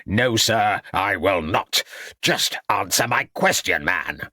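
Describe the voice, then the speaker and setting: A man's voice: sits low, big pitch swings, normal speed, no echo, studio quality, heavily acted. A man voicing an angry, blustering doctor in an audiobook, growling and indignant. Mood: outraged. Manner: growling, snarling, shouted outbursts. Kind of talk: audiobook character acting.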